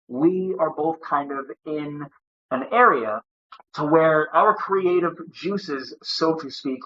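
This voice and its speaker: A male podcast host speaks in long-winded, winding sentences. His voice is slightly high. The recording sounds dull and lo-fi. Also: a bit bright. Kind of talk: interview host monologue.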